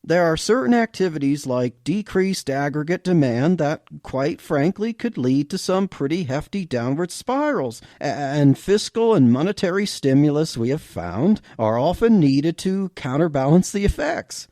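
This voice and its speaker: A man's voice: a little high, big pitch swings, normal speed, hardly any pauses, dark tone, no room sound, clean studio sound. A man voicing an earnest male economics professor who lectures in a careful, slightly hesitant way.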